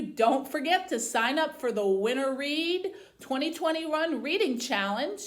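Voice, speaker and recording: A woman librarian reading a children's novel aloud and hosting for young viewers, with an expressive storytelling delivery. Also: high-pitched. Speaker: librarian host. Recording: roomy, echoey indoor sound.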